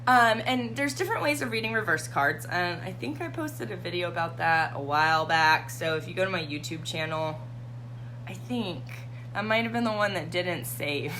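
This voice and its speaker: A slightly hoarse woman hosting a live tarot reading and chatting casually with her viewers. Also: fairly low pitch, animated, normal speed, a little hiss or hum. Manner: chatty, warm, greets viewers by name.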